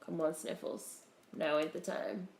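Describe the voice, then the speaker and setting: A woman hosting a casual livestream, greeting viewers in a relaxed, chatty way while congested with a cold. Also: sits low, unhurried, vocal fry, echoey room, slight background noise. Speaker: livestream host.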